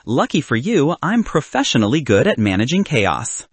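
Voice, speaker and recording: A man's voice: mid-range voice, big pitch swings, moderate pace, slightly rough, no echo. A man voicing a capable young professional bridesmaid with a bossy, playful manner in a romantic comedy audiobook. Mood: teasing.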